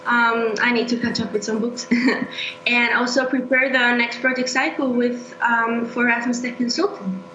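A woman's voice: on the high side, level tone, normal speed, sharp tone. A young woman, a student guest speaking over a webcam video call, chatty and laughing easily as she answers. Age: young adult. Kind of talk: casual interview answers. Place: indoor room at home. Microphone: laptop or webcam mic on video call. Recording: echoey lo-fi video call with hum.

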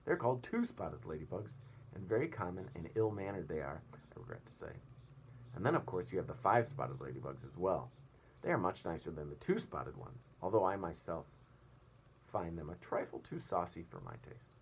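A man's voice: sits low, expressive, moderate pace, a little hiss or hum. A man voicing a proud, prim female ladybug from a storybook, genteel and self-satisfied.

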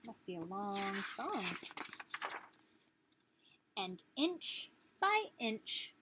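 A woman's voice: on the high side, big pitch swings, drawn-out words, background noise. A woman in her thirties reading a picture book aloud as a teacher, expressively, putting questions to small children. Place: small living room, indoors.

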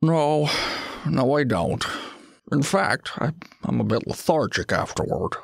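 A man's voice: mid-range voice, lively intonation, a little slow, vocal fry, breathy edge, heavily acted. A man voicing a polite, hesitant closet monster in an audio story, speaking in a mild, conversational way. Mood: mild, pondering. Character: male closet monster, hesitant, polite, tentacled child-eater. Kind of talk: acted audio story dialogue.

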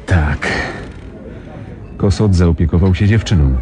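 A deep-voiced accomplice. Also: male voice, takes it slowly, background noise. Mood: matter-of-fact.

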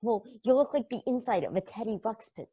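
A woman's voice: on the high side, lively intonation, brisk, dark tone, breathy edge. A woman playing a foul-mouthed mocking space probe. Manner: crude, mocking, sarcastic. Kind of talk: amateur video-call table read.

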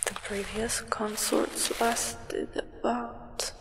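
A hushed, whispering female acolyte to a vampire sovereign, acted by a young woman. She speaks slowly in an ASMR roleplay, solemn and coolly aloof, with long reverb trailing each phrase. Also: a little high, level tone. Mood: coolly disdainful. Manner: slow, measured, soft whisper.

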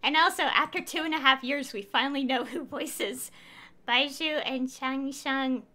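A woman's voice: high voice, moderate pace, sharp tone. A woman in her twenties giving casual, rambling, giggly commentary as a game streamer.

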